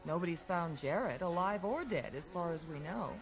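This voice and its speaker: A woman's voice: fairly low pitch, lively intonation, normal speed, music in the background, poor audio. An actress playing a witty, teasing woman in a radio drama, wry and playful. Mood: speculative, teasing. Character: witty, teasing American woman speculating on a mystery. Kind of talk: 1980s radio drama acting.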